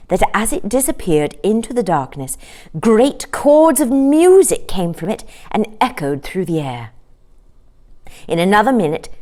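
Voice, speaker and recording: Animated woman reading a children's story. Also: fairly high pitch. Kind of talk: expressive children's story narration.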